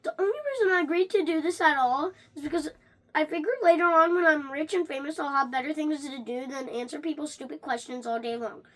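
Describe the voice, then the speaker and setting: Someone reading a children's book aloud in an animated way, stumbling over words and starting again. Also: high-pitched, brisk. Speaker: reader of a read-along.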